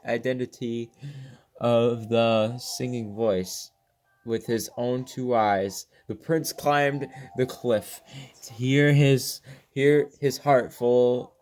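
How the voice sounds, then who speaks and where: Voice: mid-range voice, steady tone, slow pace, darkish tone. A gaming video host reading a game's story text aloud, often stumbling over words and rereading them, with casual reactions.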